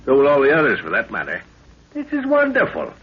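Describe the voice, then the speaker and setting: An eager scientist explorer and father in a 1930s radio adventure. His very husky voice swoops with excitement and commands with authority, on a muffled, scratchy old broadcast recording. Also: medium pitch, fast talker. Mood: excited wonder.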